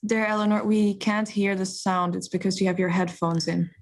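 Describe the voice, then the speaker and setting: A woman curator and speaker talking casually on a video call during a technical hitch, relaxed and soft-spoken. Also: mid-range voice, normal speed.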